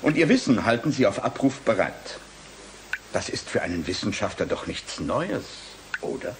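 A swooping, cynical, glib scientist. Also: male voice, lowish voice, big pitch swings, a little hiss or hum. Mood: smug, condescending. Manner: brisk, dismissive, rhetorical questions. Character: glib, cynical male brain-bank scientist, condescending. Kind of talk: German radio drama acting.

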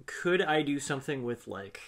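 A man's voice: mid-range voice, animated, moderate pace, fairly bright tone. A man chatting casually as a player in a tabletop game.

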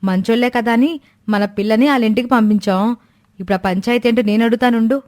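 A woman's voice: a little high, quick pace, a little mellow, natural voice. A woman voicing a dutiful, outspoken young daughter-in-law in a family story, earnest and insistent. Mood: indignant.

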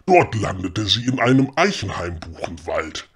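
A man's voice: lowish voice, very animated, normal speed, crowd noise. A man narrating a comedy audio drama in the style of a storybook. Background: clear music.

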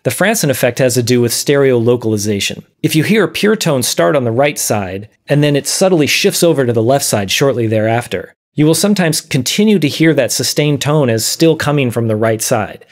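A man in his thirties presenting an explanatory video lesson in a measured, methodical way. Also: medium pitch, darkish tone. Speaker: presenter of an audio science series. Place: small dim room, indoors.

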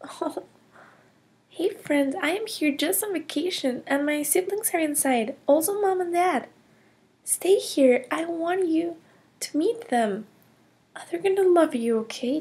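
A woman's voice: extremely high pitch, lively intonation, unhurried, long pauses, darkish tone. Hannah, woman doing a gasping curious girl. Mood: amazed. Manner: gasping, whispering wonder. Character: curious cheerful girl on a ski holiday. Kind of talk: toy play storytelling.

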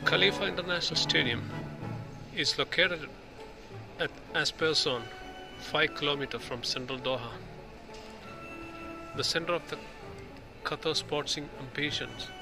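A man's voice: a little high, creaky. Man reading exhibit panels over music. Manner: reads slowly, pausing between phrases, with slips and restarts. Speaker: video host touring an exhibition. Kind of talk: reading display panels aloud while filming. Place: indoor exhibition hall. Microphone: handheld phone camera. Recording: loud music in the hall. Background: music playing.

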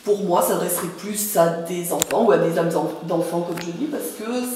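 A middle-aged woman from French Guiana giving an informal interview about her gallery, speaking hesitantly with long pauses as she searches for words. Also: fairly low pitch, a little mellow. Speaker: artist and gallery owner being interviewed. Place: indoor art gallery with hard floor. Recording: very echoey room, distant mic, faint noise.

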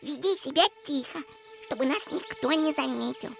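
A woman voicing a small, timid, jumpy hare in a cartoon, hushed, nervous and hurried. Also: high-pitched, gravelly, faint music behind. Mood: frightened. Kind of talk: cartoon voice acting.

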